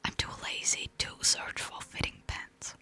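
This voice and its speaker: A woman's voice: unhurried, bright, forceful tone, faint music behind. A woman streaming in an ASMR whisper, chatting playfully with viewers while still tired from recovering from an illness.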